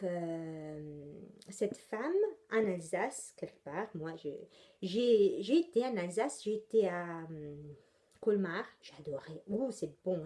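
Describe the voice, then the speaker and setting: Slow chatty Italian woman, mellow voice. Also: mid-range voice. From Italy. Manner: rambling, chatty, many hesitations and self-corrections. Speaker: knitting podcast host. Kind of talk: casual chat.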